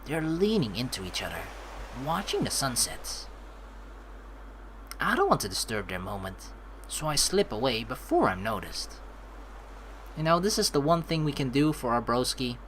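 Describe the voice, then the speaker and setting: A woman's voice: rumbling low, big pitch swings, moderate pace, long pauses, a little hiss or hum. A woman doing chatty let's play commentary, reading game lines aloud expressively. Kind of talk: casual gameplay commentary and script reading.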